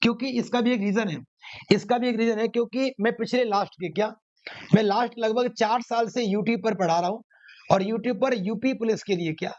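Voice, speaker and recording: High-pitched man, emphatic coaching teacher. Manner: emphatic, repeats phrases and asks himself rhetorical questions. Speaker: maths teacher running online exam classes. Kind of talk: exam coaching lecture to students. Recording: dull, lo-fi sound.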